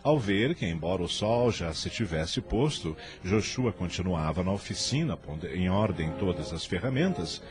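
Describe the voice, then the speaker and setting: A man's voice: low voice, lively intonation, normal speed, hardly any pauses. A man with a Brazilian accent giving solemn, literary narration for a radio drama. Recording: music bed under narration.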